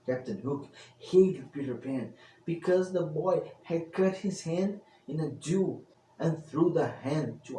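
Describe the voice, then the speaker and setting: A man's voice: fairly high pitch, darkish tone. A man narrating a scripted tale as an old-fashioned storyteller, with theatrical, grandiose delivery. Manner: theatrical, grandiose greetings and sign-off. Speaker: storyteller, channel host. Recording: roomy, distant mic with faint noise.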